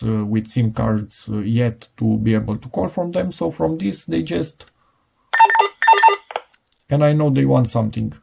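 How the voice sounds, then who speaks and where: A man's voice: medium pitch, gravelly, warm tone. A middle-aged man giving a casual, unscripted product demonstration, hesitant and rambling as he thinks aloud. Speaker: product reviewer.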